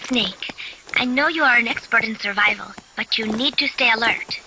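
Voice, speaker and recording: A woman acting as a gentle young guide in a video game, speaking in a high, soft voice. She explains carefully, with clear cricket and animal ambience behind her. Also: normal speed, noisy background, close to an everyday voice. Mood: gentle, informative.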